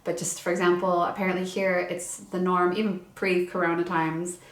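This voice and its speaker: Young woman vlogger, chatty and casual with lots of fillers, low even voice, echoey room. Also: moderate pace. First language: English. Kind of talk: casual chat.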